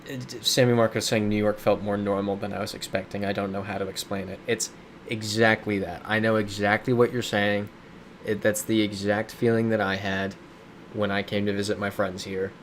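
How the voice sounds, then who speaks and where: Streamer, male, lowish voice, chatty and riffing on chat; faint air-conditioner hum behind. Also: little pitch movement, normal speed. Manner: chatty, reads viewer comments aloud and riffs on them. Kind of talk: casual live-stream chat while playing a geography game. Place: his home in a big city.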